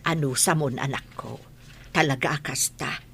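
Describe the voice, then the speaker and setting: A woman's voice: a bass voice, moderate pace, a bit bright, a little hiss or hum. A woman playing a patient, protective village mother, consoling yet firm, in a radio drama with an Ilocano accent. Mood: gentle, worried.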